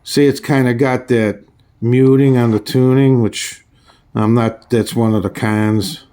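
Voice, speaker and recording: A man giving a casual, hands-on review in a halting, folksy way, often restarting his phrases. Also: medium pitch, fairly even intonation, mellow, clear, resonant tone. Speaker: radio reviewer. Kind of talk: casual hands-on product demonstration.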